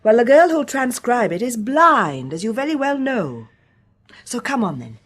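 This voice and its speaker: Casting: firm, practical British woman, radio drama, husky medium pitch, animated delivery, insistent. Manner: brisk, insistent. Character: brisk, determined English female organiser, firm and practical. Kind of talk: classic radio drama acting.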